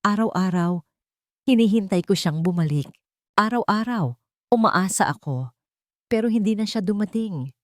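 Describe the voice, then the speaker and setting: A woman voicing a gentle, steadfast young housemaid in a story, speaking tenderly and earnestly. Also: mid-range voice, animated, normal speed, pauses often, no echo, studio quality, natural voice. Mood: wistful, tender.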